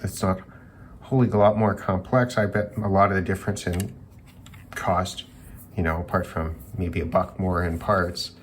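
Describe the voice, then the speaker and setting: Low-pitched man thinking aloud, noisy recording. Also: level tone, moderate pace. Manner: musing, thinking aloud, tentative. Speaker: hobbyist reviewer of radios. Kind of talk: unscripted electronics teardown commentary.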